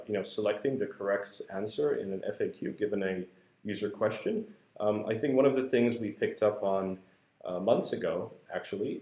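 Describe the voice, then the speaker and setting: Man, very low voice, hesitant explainer, lots of ums, roomy echo. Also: a little mellow. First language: not English. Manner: hesitant, many fillers and restarts. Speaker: co-host explaining a research paper. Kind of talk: casual whiteboard explanation. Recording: echoey room.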